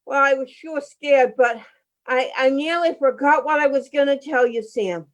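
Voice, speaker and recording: A woman's voice: high voice, normal speed, highs cut off, natural voice. A woman playing an anxious, naive wife in a radio play, speaking hesitantly. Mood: chatty, uneasy. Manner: hesitant, fretful, wide-eyed.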